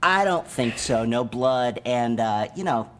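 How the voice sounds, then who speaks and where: A man's voice: medium pitch, lively intonation, measured pace, fairly bright tone, a little hiss or hum. A man improvising in character during comedy banter, rambling and folksy with many restarts.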